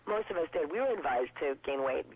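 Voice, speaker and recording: A woman in her twenties with a low voice, chatting upbeat as a guest in an interview. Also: expressive, breathy edge, no echo. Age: 20s. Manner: chatty and upbeat, quick with jokes. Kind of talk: casual interview. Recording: dull lo-fi broadcast sound.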